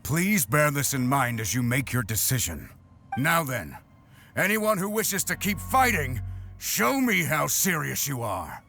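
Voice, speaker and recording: A resolute video game war leader, grave and formal. His mid-pitched voice has a creaky edge and moves expressively, with soft music behind. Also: very animated, measured pace, full character voice. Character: resolute, sombre male war leader rallying warriors. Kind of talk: video game character voice acting.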